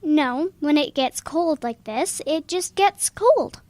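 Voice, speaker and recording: A woman's voice: sits high, animated, slow, darkish tone. A woman playing an earnest, curious young schoolboy with a puzzled, childlike manner in a children's audio drama.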